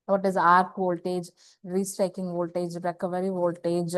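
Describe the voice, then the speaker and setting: A woman gives a slide lecture, halting and repeating phrases, often asking questions and answering them herself. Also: on the low side, completely flat delivery, no room sound. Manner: halting, repetitive, asks and answers own questions. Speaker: engineering lecturer. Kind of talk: slide lecture explaining technical material.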